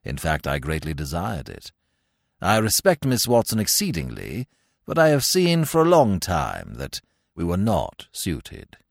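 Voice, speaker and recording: Man voicing an unruffled, low-voiced English valet. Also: creaky, dry recording, studio quality, exaggerated voice. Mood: composed, candid. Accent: British. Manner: formal, measured, deadpan.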